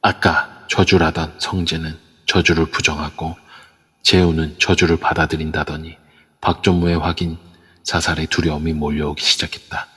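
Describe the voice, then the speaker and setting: A man's voice: rumbling low, little pitch movement, normal speed, fairly bright tone, husky, big-room sound. A man narrating an audio drama steadily from a script.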